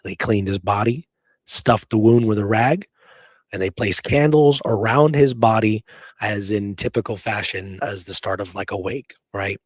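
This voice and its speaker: A man's voice: lowish voice, moderate pace, breathy edge, dry recording. A man hosting a history show in a conversational, emphatic way, often checking in with the listener as he explains and reads out accounts. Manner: informal, emphatic, checks in with "okay?" and "you know?". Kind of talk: conversational commentary on history, reading accounts aloud.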